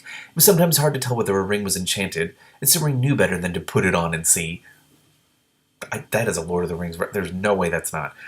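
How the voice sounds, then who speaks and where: A man reading a novel aloud and breaking into spontaneous, animated and incredulous commentary. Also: on the low side. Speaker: reader with commentary, show host.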